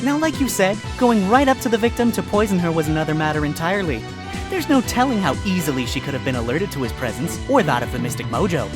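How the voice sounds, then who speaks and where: A woman's voice: fairly low pitch, animated, fast, lightly acted. Lady voicing a sly fox lawyer guy, sarcastic, bouncy delivery, music behind. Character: sly, smug male fox defense lawyer.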